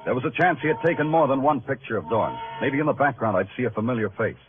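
An American actor playing a world-weary, wry New York police detective in a 1950s radio crime drama, talking in clipped, moody noir style. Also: male voice, mid-range voice, animated, fast, lightly acted. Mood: brooding, wry. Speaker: narrator and lead actor. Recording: tinny old radio broadcast, music behind.

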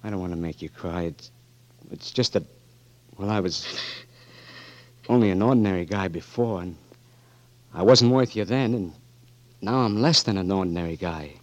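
A man playing a gruff blinded young Marine. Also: low-pitched, no room sound, muffled recording, natural voice. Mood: halting. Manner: gruff and bitter, turning halting. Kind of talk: 1940s radio acting.

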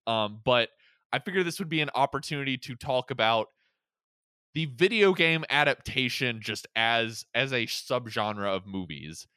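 A man's voice: mid-range voice, animated, normal speed, pushed and bright, smooth, no echo. A man hosting a podcast in casual chat about movies and games, rambling and often repeating or interrupting himself. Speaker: podcast host.

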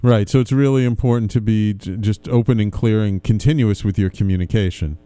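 Smooth, dark-voiced man hosting an interview. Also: fairly low pitch, fairly quick. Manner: agreeable, frequent affirming interjections. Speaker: show host, interviewer. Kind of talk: conversational interviewing.

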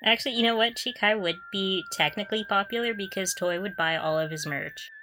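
A woman's voice: mid-range voice, brisk, bright, forceful tone, soft background music. A woman co-hosting a podcast in casual fan chat, gushing and giggly as she talks. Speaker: podcast co-host.

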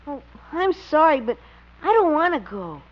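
A woman's voice: sits high, sing-song, moderate pace. A performer voicing a skeptical, blunt, grumbling little boy in 1950s radio comedy style. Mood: reluctant. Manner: blunt, scornful. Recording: muffled, noisy old radio recording.

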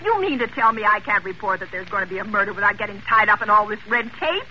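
A woman's voice: medium pitch, fast talker, lightly acted. An actress playing a frantic, self-important married woman in an old radio drama, stammering and indignant as she talks haughtily on the telephone. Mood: scornful. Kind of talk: golden age radio drama acting. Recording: vintage radio broadcast, muffled, hissy.

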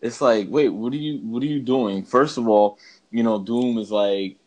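A man's voice: mid-range voice, normal speed, darkish tone. A man co-hosting a podcast in casual chat, talking in a slangy, emphatic, rambling way. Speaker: podcast co-host. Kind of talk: casual chat ranking bad video game movies.

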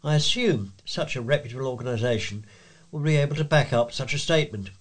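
A man's voice: mid-range voice, lively intonation, quick pace. A man reading letters and local items aloud as a volunteer news reader.

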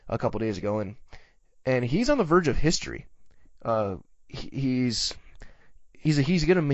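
A man's voice: medium pitch, a little mellow, no room sound, highs cut off. A man with an American accent hosting a sports show, talking through the news in a conversational commentary style. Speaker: sports podcast host.

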